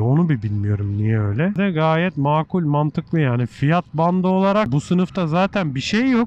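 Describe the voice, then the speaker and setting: A man's voice: a little high, darkish tone, muffled recording. A man talking casually while riding a motorcycle through city traffic, thinking aloud as he reviews the bike in an informal, chummy way. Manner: chatty and informal, calls the viewer "abi", muses aloud.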